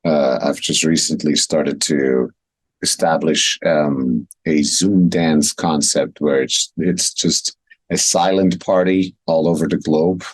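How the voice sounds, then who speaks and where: A man's voice: extremely low pitch, steady tone, takes it slowly, husky, no room sound. A man in his thirties from Switzerland, talking casually and reflectively as a coach being interviewed. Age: 30s. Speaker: interview guest, wellness and movement coach. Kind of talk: casual conversation.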